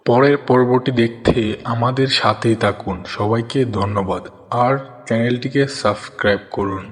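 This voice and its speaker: A man's voice: mid-range voice, fairly even intonation, normal speed. Reverberant male channel announcer. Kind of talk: scripted sign-off announcement. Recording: long reverb under loud music.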